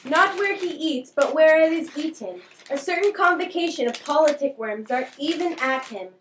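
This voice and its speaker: A woman's voice: high-pitched, expressive, moderate pace, fairly bright tone. A woman playing a mocking, riddling young prince in an amateur Shakespeare puppet show, declaiming old verse with a taunting edge. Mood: darkly taunting. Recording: echoey, distant, faintly noisy room recording.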